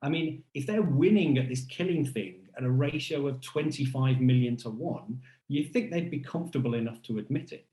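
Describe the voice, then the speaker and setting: A man delivering a scripted first-person lecture in a deliberate, rhetorical and wry manner, as a keynote speaker. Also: mid-range voice, dark tone, reverberant, poor audio.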